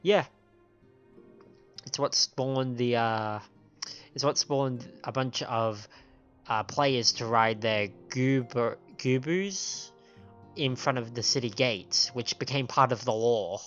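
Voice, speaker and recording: An androgynous-sounding streamer at a middle pitch reads game dialogue aloud and comments on it. The delivery trips over written lines now and then. The sound is slightly dull, with game music underneath. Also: takes it slowly, a bit bright. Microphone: microphone on a stand.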